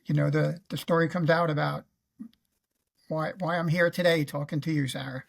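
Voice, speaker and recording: A man in his fifties with a New York accent and a notably creaky, gravelly voice. He talks about his working life as an interview guest, in halting phrases with frequent pauses, from a room on a video call. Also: on the high side, level tone. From Queens, New York. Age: 50s. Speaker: guest, retired federal law officer and navy veteran. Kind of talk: recounting career in an interview.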